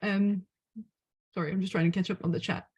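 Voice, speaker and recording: A woman's voice: mid-range voice, steady tone, a little fast, darkish tone, slightly rough, highs cut off. A woman moderating an online discussion off the cuff, with a polite, slightly tentative way of talking. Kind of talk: spontaneous event moderation.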